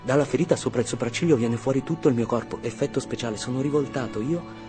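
A man's voice: mid-range voice, fast, over music, natural voice. An actor as a man intensely reliving childhood. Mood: tense, vivid. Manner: fragmented, repetitive, vivid present-tense storytelling. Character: Italian man dramatically reliving his 1980s childhood. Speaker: actor and narrator. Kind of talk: dramatic first-person radio monologue.

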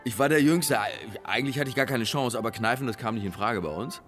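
A crude, jovial male politician played by a man in a naturalistic radio drama. He rambles on boastfully at a middling pitch, with music behind him. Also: fast, a bit bright, natural voice. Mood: boastful, rambling.

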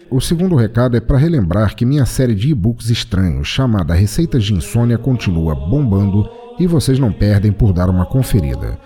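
A man's voice: lowish voice, dark tone. A Brazilian man hosting a podcast of short stories, speaking in a scripted, formal and literary way. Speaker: podcast host and story narrator. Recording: music behind the voice. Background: music.

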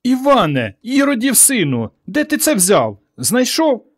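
A man's voice: a little high, sing-song, a little fast, voice pushed up. A man voicing an angry village mother in a story, scolding and wailing with indignation. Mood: alarmed, furious.

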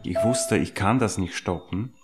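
A man tells his own story in a low voice. He speaks as an interviewee in a documentary. Music runs underneath his words. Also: unhurried. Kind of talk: personal documentary recollection.

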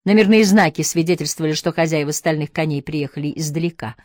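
A woman narrator giving a measured, expressive audiobook reading of literary prose. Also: low-pitched, no echo, studio quality.

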